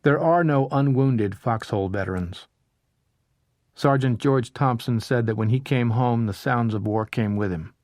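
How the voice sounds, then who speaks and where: A man narrating a history audiobook in a measured, steady way. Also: medium pitch, no echo, studio quality. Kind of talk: scripted narration.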